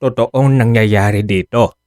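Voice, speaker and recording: A man with a Filipino accent playing a stern middle-aged father in a radio drama, frank and measured as he admonishes. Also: lowish voice, a little mellow, no room sound, clean studio sound, natural voice. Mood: stern, apologetic. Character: blunt, stern Filipino father of a grown son. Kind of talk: Tagalog radio drama acting.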